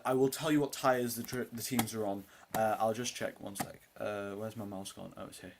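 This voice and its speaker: A teenage boy with a deep voice for his age, hosting a casual live race watchalong, rambling with lots of ums and uhs as he answers chat questions. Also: slight background noise. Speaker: live stream host.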